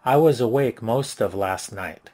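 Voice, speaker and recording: A man reading English example sentences for a lesson, each one said twice in an even, deliberate way. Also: medium pitch.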